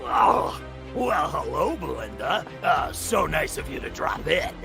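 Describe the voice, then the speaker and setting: A man's voice: a little high, very animated, measured pace, gravelly, music in the background, full character voice. A man playing a vain, vengeful movie-star villain in a theatrical, gloating cartoon read. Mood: smug, gloating. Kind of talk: cartoon table-read acting.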